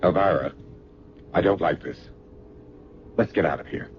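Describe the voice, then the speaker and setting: An actor playing a wary, reluctant husband in a radio drama, hesitant and skeptical. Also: male voice, sits low, moderate pace, background noise, close to an everyday voice. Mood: uneasy. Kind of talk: radio drama acting with story narration. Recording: dull old radio recording, music behind.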